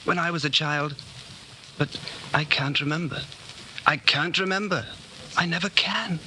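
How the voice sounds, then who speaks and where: A man's voice: fairly high pitch, a little slow, pushed and bright. A British actor playing a tormented, brooding man in his late thirties, in 1940s radio drama style. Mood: brooding, anguished. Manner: brooding, questioning. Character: frail, tormented, secretly rebellious man of thirty-nine. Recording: faintly noisy 1949 radio recording.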